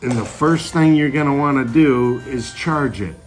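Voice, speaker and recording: A man's voice: medium pitch, normal speed. A man giving a casual product demonstration for a child, explaining things simply, step by step. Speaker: parent demonstrating a toy for a family channel. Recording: echoey room with loud music bed. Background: music.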